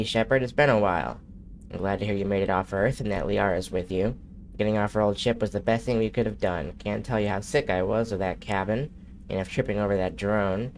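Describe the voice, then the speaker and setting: A man streaming a video game who reads in-game messages aloud in a steady, unhurried way, with a nasal voice and occasional slips. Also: on the low side, a little hiss or hum. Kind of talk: reading in-game messages aloud during a live gameplay stream.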